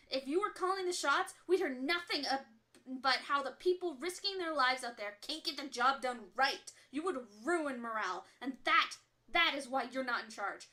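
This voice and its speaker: A woman playing a fiery resistance broadcaster in an audio drama. Her voice sits high, with a sharp, bright edge. She is defensive and emphatic, a leader who means every word. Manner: forceful, indignant, emphatic. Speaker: radio broadcaster.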